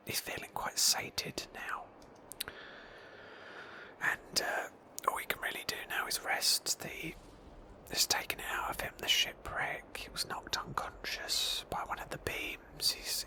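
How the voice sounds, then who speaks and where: A woman narrating a roleplay game playthrough in a breathy ASMR whisper, slowly and with pauses. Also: sharp tone, low-quality recording.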